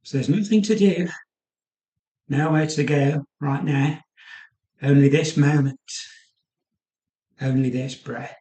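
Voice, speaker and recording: Slow-spoken man guiding meditation, roomy echo. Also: a little high, mellow. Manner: soothing, unhurried instruction with trailing pauses. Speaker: yoga nidra guide. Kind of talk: guided meditation.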